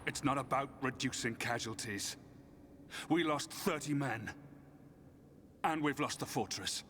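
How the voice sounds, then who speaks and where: A man's voice: medium pitch, measured pace, fairly bright tone, slight background noise, close to an everyday voice. An actor playing a soldier in a game, grieving his fallen men and swearing to fight on, intense and full of self-blame. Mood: anguished. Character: grieving soldier, bitter and stubborn.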